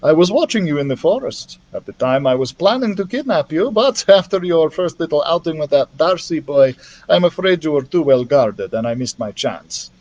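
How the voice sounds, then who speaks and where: Guy reading as an evil lady scientist from a storybook, silky polite, menacing, raised pitch. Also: expressive, moderate pace, darkish tone, highs cut off, lightly acted. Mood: coolly menacing. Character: sinister, polite Russian woman dragon scientist. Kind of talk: storybook character voice.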